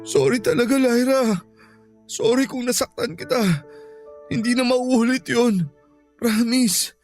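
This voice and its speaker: Insistent, hot-tempered young boyfriend. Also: male voice, very high-pitched, a little slow, faint music behind. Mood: contrite, hopeful. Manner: insistent, dramatic pleading. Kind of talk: Filipino radio drama acting.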